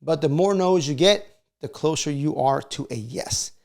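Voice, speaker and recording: A middle-aged man talks in a fairly high voice with plenty of gravelly creak. He gives casual, motivational sales advice as a video host, conversational and often tagging his points with little check-in questions. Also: normal speed, a little mellow. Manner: conversational, frequently seeks agreement with short check questions.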